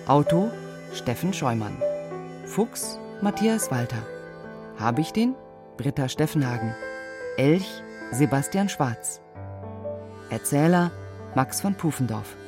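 A male announcer reads scripted radio play credits one by one. He is slow and mid-pitched, with clear music underneath. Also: sing-song.

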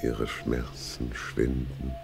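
An actor playing a deranged, vain theatre director and hypnotist in a radio crime drama, with a commanding, theatrical delivery. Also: male voice, very deep voice, little pitch movement, drawn-out words, creaky, over music, exaggerated voice. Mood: hypnotic, commanding.

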